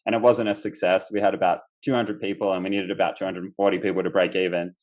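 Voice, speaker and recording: A man's voice: low voice, little pitch movement, quick pace, darkish tone. A young man from Australia, a guest on an interview podcast, telling his story casually with lots of fillers and restarts. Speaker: guest entrepreneur.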